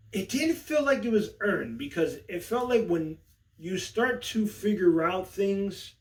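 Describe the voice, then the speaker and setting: A man's voice: a little high, recorded from a distance. A man hosting a casual, unscripted review, talking in a slangy, conversational way and often checking in with the listener. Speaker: movie review host.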